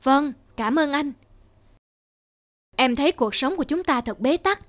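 A woman playing a caring, quietly resolute wife in a cartoon drama, gentle and measured. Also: sits high, lively intonation. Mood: sad, resolute.

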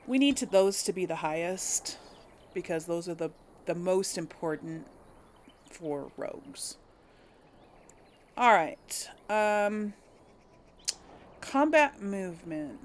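A woman's voice: on the low side, animated, takes it slowly, pauses often, slight background noise. A woman giving casual game commentary, thinking aloud and correcting herself as she addresses viewers. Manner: musing, hesitant self-correcting talk to viewers. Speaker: gamer hosting a role-play playthrough.

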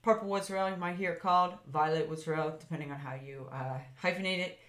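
A woman's voice: low-pitched, fairly even intonation, fast, a bit bright, echoey room. A woman hosting a foraging channel, narrating a plant slideshow in a chatty, meandering way with fillers and self-corrections. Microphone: voice-over over slides.

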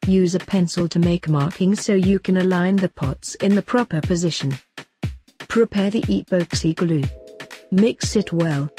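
Bright-voiced woman narrating a tutorial. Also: lowish voice. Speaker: host and narrator of a craft tutorial. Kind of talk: scripted instructional narration. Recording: voice over an instrumental music bed. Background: instrumental pop music.

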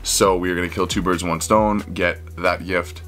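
A man's voice: low-pitched, fairly even intonation, moderate pace, fairly bright tone. A man in his twenties with a Canadian accent, chatting casually to a handheld camera indoors as a vlogger. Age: 20s. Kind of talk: casual vlog talk to camera. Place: small room at home, indoors. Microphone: camera held at arm's length. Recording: music behind the voice.

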